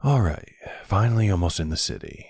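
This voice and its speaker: Man playing a gravelly, flirty male vampire. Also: low voice, huge pitch swings, brisk, a little mellow, heavily acted. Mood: playful, smug.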